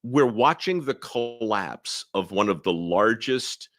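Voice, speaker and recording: A man with a low voice talks as a podcast guest, his pitch swinging widely as he stresses points. He often repeats a word before getting his thought out. The recording is dry and close-miked. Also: takes it slowly, no room sound. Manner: lively, emphatic, repeats words when starting. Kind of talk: casual conversation.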